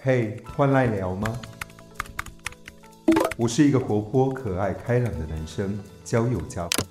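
A man's voice: lowish voice, a little slow, lightly acted. A man playing pushy dating app strangers reciting pick-up lines in a comic ad skit. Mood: pushy, upbeat. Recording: roomy echo under loud music.

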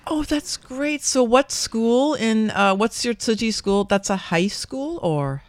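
A woman's voice: fairly high pitch, lively intonation, takes it slowly, no echo, clean studio sound. A middle-aged woman hosting a friendly radio interview, enthusiastic and full of exclamations and small fillers. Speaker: radio show host and English department chair.

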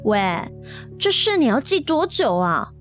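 A woman's voice: sits high, big pitch swings, measured pace, a bit bright, faint music behind, heavily acted. A woman acting an eager, bashful young office employee in a radio drama. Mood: bashful, grumbling. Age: young adult.

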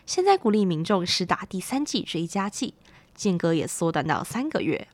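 A woman's voice: fairly low pitch, sing-song, normal speed, no echo. A young adult woman with a Taiwanese Mandarin accent reading a scripted news report as a student reporter.

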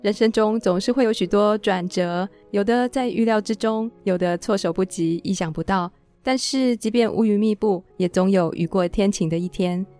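A female podcast host delivers her questions and scripted narration as a presenter. Music plays softly with her voice. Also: mid-range voice, moderate pace, hardly any pauses. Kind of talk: interview hosting with scripted closing narration.

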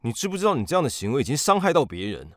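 A stern police interrogator in an audio drama. He is indignant and incredulous, his pitch swinging widely as he scolds, recorded dry and close. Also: wildly swooping pitch, racing, fairly bright tone, no echo. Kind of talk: Mandarin audio drama acting.

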